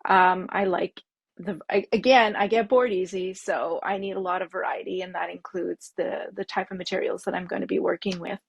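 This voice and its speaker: Gravelly, husky woman, French native speaker. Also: on the low side, steady tone, no room sound. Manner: frank, halting with frequent ums. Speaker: pattern designer guest. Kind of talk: casual interview chat.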